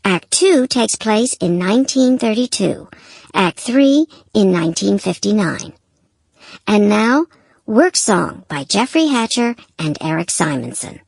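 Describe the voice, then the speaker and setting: A woman's voice: a little high, vocal fry, no echo. A woman announcer reading a scripted introduction to a recorded play.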